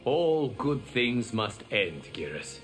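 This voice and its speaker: An actor voicing a calm, noble lord and mentor in a video game, speaking with formal assurance. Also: male voice, medium pitch, takes it slowly, faint music behind. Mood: resolute.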